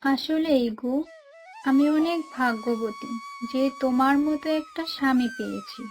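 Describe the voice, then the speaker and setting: A high, unhurried voice, a woman playing a devoted young cartoon wife. She sounds earnest, tender and trusting in a fairy-tale scene. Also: huge pitch swings, full character voice. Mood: tearful gratitude. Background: background music.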